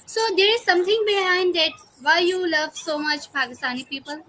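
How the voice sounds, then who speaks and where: A woman hosting a casual remote interview, chatting in halting, non-native English. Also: very high-pitched, sharp tone, slight background noise. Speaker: interviewer and podcast host.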